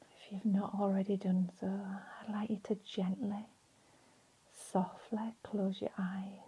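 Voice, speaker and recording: A woman leading a guided relaxation in a soft, breathy, soothing voice, leaving long pauses between phrases. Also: mid-range voice, little pitch movement. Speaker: meditation and relaxation instructor.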